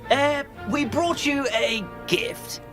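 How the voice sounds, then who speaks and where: An actor playing a polite, eager English gentleman ghost in a video game, with a British accent and a courtly, hesitant manner. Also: very high-pitched, slow, over music. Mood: nervous, deferential. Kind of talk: video game character acting.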